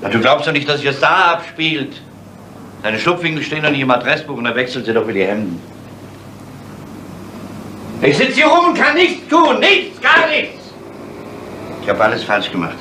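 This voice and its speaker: An actor playing a gruff, bitter and driven police commissioner in a 1970s crime radio drama, grumbling and urgent. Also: male voice, fairly high pitch, very animated, normal speed, pauses often, pushed and bright, a little hiss or hum, low-quality recording. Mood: gruff, brooding, then bitter, helpless.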